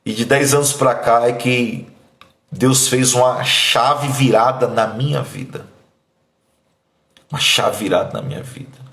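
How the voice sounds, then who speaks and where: A man's voice: a little slow, reverberant. A man in his thirties from Bahia, Brazil, preaching a sermon and sharing his testimony in an emphatic, pleading way. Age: 30s. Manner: emphatic, pleading, voice dropping low and soft when emotional. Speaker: pastor.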